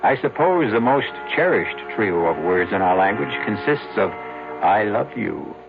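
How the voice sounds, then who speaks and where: Low-voiced theatrical radio host, male. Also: big pitch swings, creaky, music in the background. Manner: measured, literary, dramatic. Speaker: host of radio drama series. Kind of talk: theatrical scripted narration, old radio. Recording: phone-like old radio recording.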